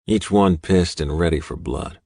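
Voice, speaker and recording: A man's voice: low-pitched, unhurried, warm tone, dry recording, clean studio sound. A man reading a scripted first-person audiobook novel as its narrator.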